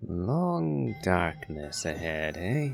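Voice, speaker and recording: A man giving low-key video game playthrough commentary, musing in a measured, wry way. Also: big pitch swings, vocal fry. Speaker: gamer playing and voicing reactions. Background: game music.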